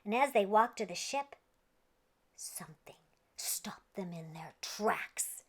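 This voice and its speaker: A woman's voice: medium pitch, expressive, takes it slowly. A woman reading a children's story aloud in a playful, theatrical way with exaggerated emphasis. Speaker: children's storybook reader and host.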